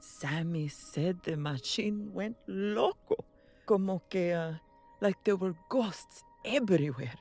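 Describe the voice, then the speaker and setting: A jittery, superstitious woman, acted by a woman, in an audio drama, talking slowly in halting, broken English. Faint music plays behind her. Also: on the low side, lively intonation, a little mellow, heavily acted. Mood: fretful. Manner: nervous, halting, broken English with Spanish words. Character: frightened superstitious woman, café worker. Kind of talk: audio drama table read.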